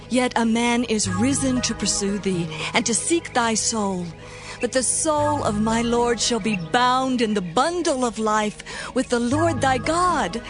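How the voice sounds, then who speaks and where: A devout, humble wife in an audio Bible drama. She pleads in a fairly high, measured voice, slow and formal, over prominent music. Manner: earnest, formal supplication. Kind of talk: dramatized audio Bible acting in archaic English.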